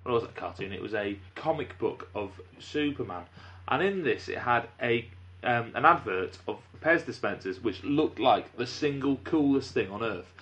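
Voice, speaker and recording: A man's voice: mid-range voice, expressive, normal speed, fairly bright tone. Brief: man from North Wales, podcast host, dry and mock-formal. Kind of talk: casual comedic chat.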